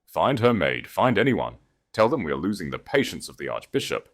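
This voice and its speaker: Haughty nobleman groom played by a man, formal and projecting, low but swooping, panic under forced poise, period romance audiobook. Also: very animated, a little fast. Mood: urgent, hissed. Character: proud aristocratic groom, desperate, masking panic with false composure.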